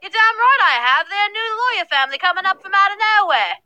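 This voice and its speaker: Squeaky, bright, animated young maid. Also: female voice, fast talker, no echo, heavily acted. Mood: eager. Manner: folksy, exclaiming. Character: lively young female maid, folksy and gossipy. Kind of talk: visual novel character acting.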